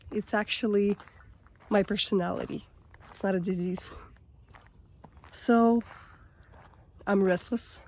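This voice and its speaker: Hoarse woman vlogger talking outdoors on a trail, slow and unhurried, reflective, pitch sitting mid-range. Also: a little mellow. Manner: reflective, musing, loose with fillers. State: hoarse, recovering voice. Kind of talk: casual walk-and-talk vlog. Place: outdoors on a forest hiking trail.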